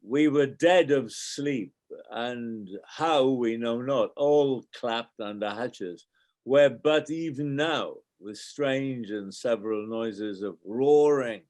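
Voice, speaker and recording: A man playing a sailor and servant in a Shakespeare reading, with deliberate, dramatic phrasing. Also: medium pitch, a little mellow, close to an everyday voice. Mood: awed. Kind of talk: unrehearsed Shakespeare play reading.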